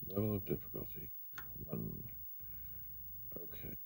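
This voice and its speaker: A man with a deep, creaky, mellow voice gives an off-the-cuff demo as a vlog host. He muses in a halting way and often repeats his own phrases. Faint room noise sits behind him. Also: big pitch swings, poor audio. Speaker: retro computer vlogger, host. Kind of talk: unscripted hands-on demo commentary.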